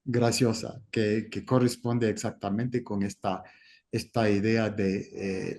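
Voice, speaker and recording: A man moderating an academic discussion, speaking off the cuff and haltingly as he searches for his words. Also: lowish voice, dark tone. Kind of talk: academic discussion, spontaneous remarks.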